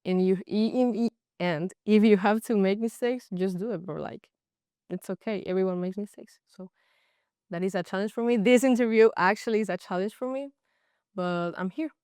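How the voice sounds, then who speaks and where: A young woman from Colombia with a Colombian Spanish accent, a native Spanish speaker speaking halting English with frequent restarts in a casual interview. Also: medium pitch, darkish tone, clear, resonant tone, no echo, clean studio sound. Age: young adult. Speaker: guest, college goalkeeper.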